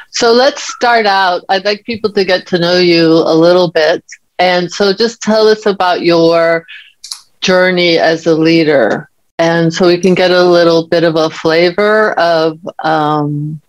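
A woman's voice: lowish voice, no room sound, muffled recording. A woman hosting an interview podcast, introducing her guest in a chatty way with fillers and hesitations. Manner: warm-up talk with fillers, hesitant. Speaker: podcast host. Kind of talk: conversational interview.

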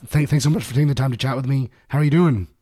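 A man with a hoarse voice hosting a finance podcast and interviewing a guest, upbeat in his intro. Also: medium pitch, very fast, warm tone, no room sound. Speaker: podcast host.

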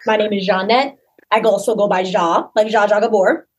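A woman's voice: medium pitch, fairly even intonation, normal speed, husky, dull audio. A young woman who acts and designs costumes in a community musical, introducing herself in a bubbly, giggly way. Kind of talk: interview guest.

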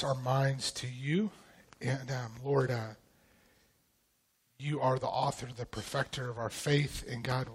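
A man from Idaho leading prayer and teaching at church, slightly raspy from a recent vocal cord infection, talking in a relaxed, loose way with many ums. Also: mid-range voice. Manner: relaxed, conversational, frequent ums and throat clearing. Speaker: pastor.

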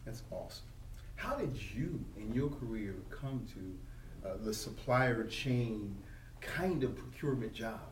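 A man hosts a podcast interview with polite enthusiasm, addressing his guest respectfully, at a middle pitch. He is heard from a distance in a roomy, echoing space, with faint noise behind him. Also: expressive, unhurried. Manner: warm, enthusiastic, polite ("sir"). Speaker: podcast host. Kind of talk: interview hosting. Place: convention venue.

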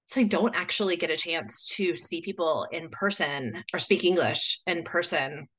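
A chatty woman from the United States hosts a solo podcast episode. Her voice sits fairly low but sounds bright and forceful. She wanders through her thoughts, leaning on plenty of "like" and "you know.". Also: moderate pace, slightly rough. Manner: chatty, rambling, full of "like" and "you know" fillers. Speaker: podcast host. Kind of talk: casual solo podcast talk.

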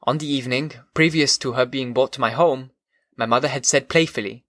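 An earnest gentleman, acted by a male audiobook reader, recounts his life with a German accent. His delivery is formal and measured, in a medium pitch. The recording is dry and free of room sound. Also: no room sound, lightly acted. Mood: earnest, devoted. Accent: put-on German. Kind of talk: audiobook narration of classic novel.

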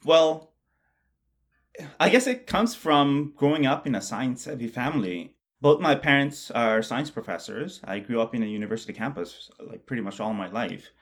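A man from Bangladesh, co-hosting a casual podcast chat about math in a musing way that often trails off quietly. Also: mid-range voice, expressive, moderate pace. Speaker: podcast co-host, mathematician.

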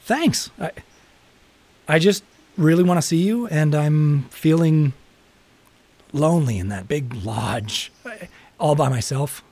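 Audio drama role: needy, teasing young guy, lively pitch swings, slightly husky. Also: slow, pauses often, heavily acted. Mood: needy pleading. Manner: teasing, pleading. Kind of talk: naturalistic audio drama acting.

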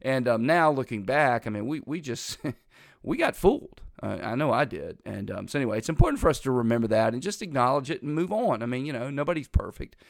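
A man's voice: mid-range voice, animated, brisk, gravelly, no room sound, studio quality. A middle-aged man hosting a casual political talk show, rambling in a folksy way while congested from a lingering cold.

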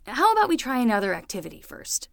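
Woman voicing a young nanny, wry, gently teasing, gravelly fry, dry close mic, comedy audio drama. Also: a little high, huge pitch swings, quick pace, no room sound, full character voice.

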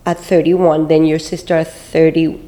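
A woman, chatting as a co-host in a halting, slightly shy way. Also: sits low, level tone, warm tone, poor audio. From Puerto Rico. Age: 50s. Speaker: podcast co-host. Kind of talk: casual chat, storytelling.

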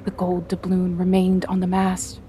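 A woman playing a thoughtful sailor who narrates a doomed whaling voyage in measured, literary storytelling. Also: fairly low pitch, monotone, warm tone, a little hiss or hum, close to an everyday voice. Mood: grave. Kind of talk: scripted dramatic narration.

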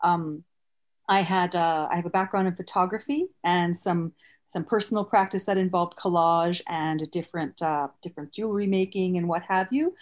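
A woman's voice: lowish voice, moderate pace. A middle-aged woman from the East Coast, chatting casually and easily as an interview guest. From East Coast of North America. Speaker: guest, elementary art teacher.

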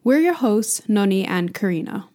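A woman's voice: medium pitch, lively intonation, moderate pace. Twenties woman from Hong Kong, podcast co-host, earnest and open, laughs easily. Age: 20s. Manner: earnest, lots of "you know", laughs easily. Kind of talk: casual chat.

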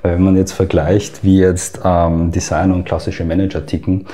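A man's voice: low-pitched, flat delivery, moderate pace, dark tone. A man with an Austrian accent, a guest in an interview, explaining at length with frequent filler sounds. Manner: hesitant, many fillers. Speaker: guest expert.

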